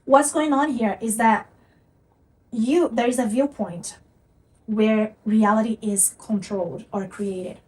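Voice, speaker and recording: A woman's voice: a little high, fairly even intonation, slow, a little mellow, far from the mic, low-quality recording. A woman from Brazil with a Brazilian Portuguese accent, teaching earnestly to camera and repeating her points for emphasis. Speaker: manifestation coach.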